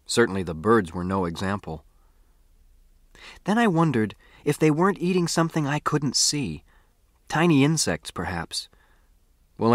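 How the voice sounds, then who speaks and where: American man narrating a story, lowish dry voice. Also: no echo. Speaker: audiobook narrator. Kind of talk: expressive first-person storytelling narration.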